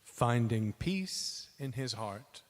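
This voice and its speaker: A man reciting spoken word in a measured, weighty theatrical manner, as a reader in a dramatic performance. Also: mid-range voice, animated. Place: large reverberant temple hall. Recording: long hall reverb.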